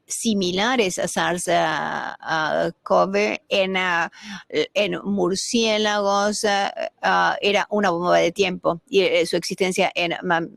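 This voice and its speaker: A woman giving a formal panel presentation on a video call, halting at times with fillers and restarts. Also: medium pitch, vocal fry, no echo, clean studio sound. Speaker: panel speaker.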